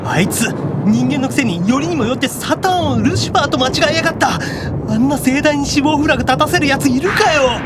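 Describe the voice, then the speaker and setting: A man's voice: very high voice, expressive, fairly quick, few pauses, very noisy, pitched above the performer's own voice. A man voicing a flustered, self-deprecating young otaku demon brother in an audio drama, full of exclamations and muttered asides. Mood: alarmed.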